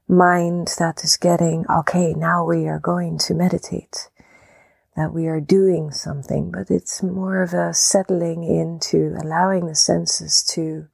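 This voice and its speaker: Slow, creaky-voiced woman guiding meditation. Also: lowish voice, no room sound. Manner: calm, unhurried, gentle, with long pauses between short phrases. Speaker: meditation guide. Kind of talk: guided meditation, softly spoken instruction.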